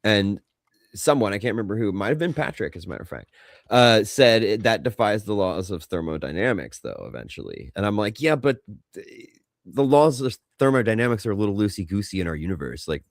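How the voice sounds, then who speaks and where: A man with a low-pitched voice talks as a podcast co-host. He thinks out loud in an informal, sweary way, and the sound is dry with no room to it. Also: sing-song, a little fast, creaky, no echo. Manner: informal, swearing, musing aloud. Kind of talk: casual pop culture podcast banter.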